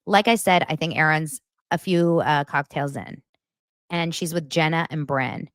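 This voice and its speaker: A woman who is a podcast guest and hosts her own daily show, chatting casually and telling gossip stories in an animated way. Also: low voice, measured pace, no room sound, studio quality. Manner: animated storyteller who acts out quotes.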